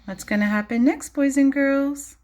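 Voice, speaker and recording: A woman teacher reading a storybook aloud to young children in an expressive, child-directed way, pausing to explain and ask questions. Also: high voice. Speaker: preschool or early primary teacher.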